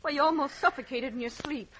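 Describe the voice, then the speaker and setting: A woman's voice: high-pitched, quick pace, fairly bright tone. An actress playing an anxious, flustered landlady in a 1950s radio drama, speaking with urgency. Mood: flustered, relieved. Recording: noisy vintage radio recording.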